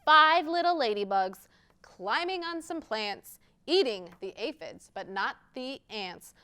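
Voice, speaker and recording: Clear-voiced woman reading to children. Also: fairly high pitch, slow pace. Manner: expressive, addresses children directly. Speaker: library storytime host and children's reader. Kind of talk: picture-book read-aloud with interactive rhymes for young children.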